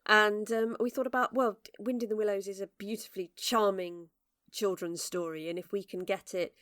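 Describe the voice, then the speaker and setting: A woman's voice: mid-range voice, steady tone, normal speed, a bit bright, dry recording, clean studio sound. An English woman telling a story in a casual podcast chat, with many fillers and restarts. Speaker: director and regular of the show.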